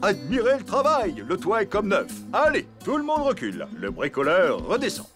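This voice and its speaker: A man's voice: sits high, huge pitch swings, normal speed. A man playing a cheerful, boastful elephant dad in a children's cartoon, reassuring and theatrical. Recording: music behind the voice.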